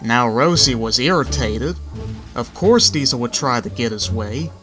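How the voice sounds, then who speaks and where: Unhurried male storybook narrator. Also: medium pitch, expressive, over music.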